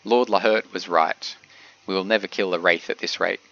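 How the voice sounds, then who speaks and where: A man reading a wary soldier's lines aloud from a game, in his own congested, gravelly voice. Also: low-pitched, fairly even intonation, normal speed, fairly bright tone, highs cut off. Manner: reads game dialogue aloud, plainly.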